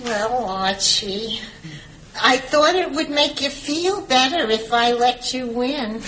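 A woman playing a naive, sensitive middle-aged working-class housewife with a shrill New York voice and an earnest, plaintive manner, in a sitcom. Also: animated, slow pace, noisy background. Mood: meek. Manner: earnest, plaintive, simple-spoken. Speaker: sitcom actor. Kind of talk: sitcom acting before a studio audience.

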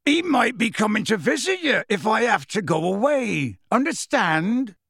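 A man putting on a huge, boisterous half-giant with a kind heart, bellowing in a raised, swooping voice. He coaxes slowly and drags his words out. The audiobook recording is dry and close. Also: sits high, very animated, vocal fry, breathy edge, no echo, pitched above the performer's own voice, heavily acted. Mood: coaxing. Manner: loud coaxing, slow and drawn out. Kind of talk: audiobook character voice.

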